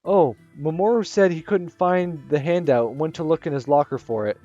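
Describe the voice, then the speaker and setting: A man voicing a calm, dry, teasing teenage boy from a visual novel, low and deadpan. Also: normal speed, no room sound, lightly acted. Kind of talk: reading a visual novel aloud. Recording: slightly muffled with soft music.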